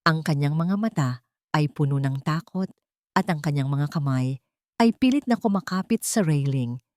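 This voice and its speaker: A woman's voice: low voice, animated, moderate pace, darkish tone. A woman narrator reading a scripted story in a dramatic style.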